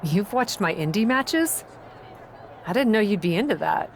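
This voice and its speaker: A woman acts an eager challenger in a wrestling game. She is casual and teasing, with a confident, mid-pitched voice and a scrappy, plucky streak. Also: animated, moderate pace, a little hiss or hum, lightly acted. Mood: friendly, teasing. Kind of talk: naturalistic video game cutscene acting.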